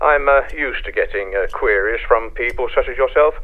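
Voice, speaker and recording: A man playing a polite, hesitant museum librarian in an audio drama, heard through a telephone filter. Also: medium pitch. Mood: helpful, hesitant. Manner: halting, helpful, full of hesitations. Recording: thin, tinny phone line.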